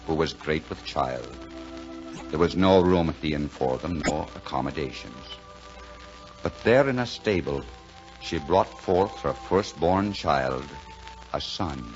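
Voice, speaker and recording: An actor playing a plain-spoken, reassuring American man telling a Christmas story in 1940s radio drama style. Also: low-pitched. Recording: dull 1940s radio broadcast, music behind.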